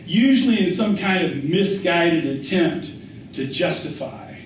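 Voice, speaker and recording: A man's voice: high voice, animated, a little slow. A man reading aloud as a stoic farmer father, folksy and emphatic, telling cautionary tales with rhetorical questions. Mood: wry, instructive. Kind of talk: acted quote within a literary reading. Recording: echoey room, distant mic, faint noise.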